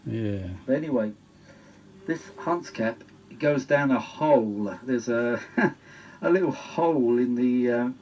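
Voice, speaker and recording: British man chatting, clear background noise. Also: medium pitch, a little slow, darkish tone, muffled recording. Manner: chatty, rambling, exclaiming. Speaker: vintage audio repair vlogger. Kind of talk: casual spoken demonstration.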